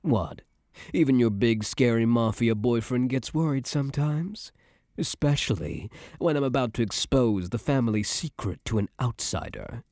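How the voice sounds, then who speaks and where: A man's voice: mid-range voice, expressive, moderate pace, darkish tone, no echo, studio quality, close to an everyday voice. A man playing a doting young mafia heir in an intimate ASMR boyfriend roleplay, speaking with tough, streetwise affection and self-assurance. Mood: admiring.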